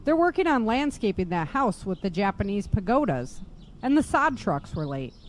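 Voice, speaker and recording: A woman's voice: mid-range voice, big pitch swings, normal speed, a little hiss or hum, lightly acted. A woman playing an affectionate, reassuring working mother in an audio drama.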